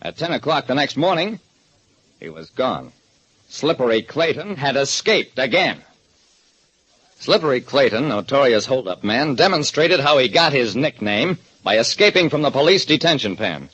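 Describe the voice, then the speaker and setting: An actor playing a private detective who narrates in a dry, deliberate way in a radio drama. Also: male voice, mid-range voice, very animated, fairly bright tone, husky. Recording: dull lo-fi old radio broadcast.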